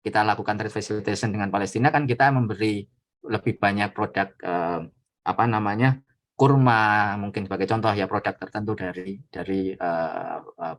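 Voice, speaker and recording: Guy guest lecturer, lowish voice, explaining stuff informally, a bit hesitant. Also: normal speed. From Indonesia. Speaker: trade attaché, guest lecturer.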